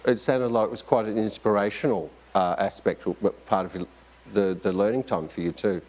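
A man's voice: lowish voice, fairly quick, a little mellow. A middle-aged man hosting an arts interview show, asking questions with many fillers and restarts. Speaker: host. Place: studio with staircase.

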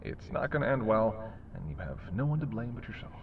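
A man's voice: lowish voice, big pitch swings, a little fast, gravelly, phone-quality sound, noisy background. A man playing a sardonic, predatory male vampire in an intimate audio roleplay, with wry, teasing, murmured banter. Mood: wry, musing. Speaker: voice actor.

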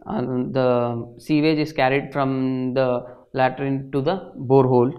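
A young man gives an explanatory lecture in a halting, hesitant way, with frequent filled pauses. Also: mid-range voice. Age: young adult. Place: indoor studio at a desk. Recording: slightly dull, lo-fi sound.

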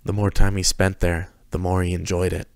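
A man narrates scripted fiction. His voice sits very low, and the recording is dry and close, with hardly any room in it. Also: completely flat delivery, fairly quick, vocal fry, no echo.

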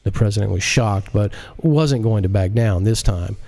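A man's voice: fairly low pitch, normal speed, mellow. A man reading his own novel as an audiobook narrator, in scripted storytelling style.

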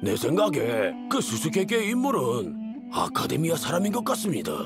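Helpful game villager, fairly high voice, very varied intonation, earnest, polite. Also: male voice, huge pitch swings, normal speed. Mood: thoughtful. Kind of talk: game character dialogue. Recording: music behind the voice.

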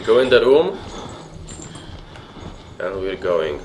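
A man's voice: low-pitched, very animated, takes it slowly, darkish tone, slight background noise. Young man, Polish accent, horror game streamer, chatty, nervous mutters, home desk mic. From Poland. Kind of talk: horror game commentary with chat. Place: home room, indoors.

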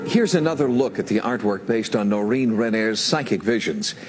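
A man giving grave, measured scripted narration for a television documentary. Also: on the low side, expressive. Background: music.

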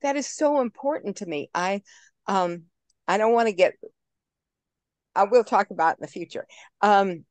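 A woman's voice: medium pitch, moderate pace, long pauses, no echo. A woman over fifty, a guest actress on a video call, talking in a brassy, playful and blunt way. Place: home room.

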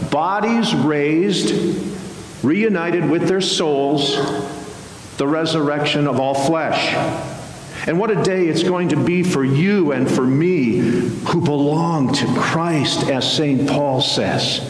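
An American man, middle-aged or older, preaching a sermon as a pastor with measured, emphatic phrasing and pauses. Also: on the high side. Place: large church sanctuary, at the pulpit. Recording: very echoey church hall, faint hiss.

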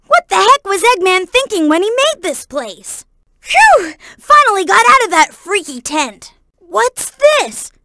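A woman voicing an earnest, excitable young boy fox sidekick in a video game, with a childlike voice. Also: very high voice, no room sound, studio quality, heavily acted. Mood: amazed. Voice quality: childlike, boyish. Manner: earnest, eager. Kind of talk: video game voice acting, hints and warnings.

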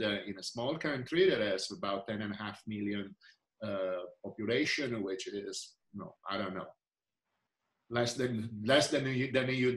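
Older Italian-accented man with a low voice, halting over a video call in an echoey room. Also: a bit bright. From Italy. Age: 60s. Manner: halting, many fillers and restarts. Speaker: researcher and physician. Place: indoor room.

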